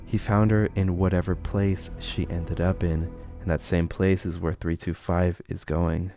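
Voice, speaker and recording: A man's voice: low-pitched, level tone, measured pace, over music. A man narrating and commenting on horror videos as an online host.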